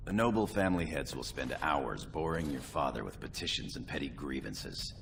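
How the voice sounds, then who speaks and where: Very deep, gravelly loyal warrior. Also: male voice, lively intonation, moderate pace, noisy background, exaggerated voice. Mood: wry, relaxed. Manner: dry, wry, deferential. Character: loyal sardonic male warrior second to a young noble lord. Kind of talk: fantasy game voice acting. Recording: music behind the voice.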